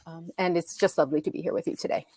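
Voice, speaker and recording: American woman, lower voice, thoughtful, earnest, dry close recording, video call. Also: steady tone, racing, a little mellow, dry recording. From United States. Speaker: author, panelist. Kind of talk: casual panel talk. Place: home room with bookshelves.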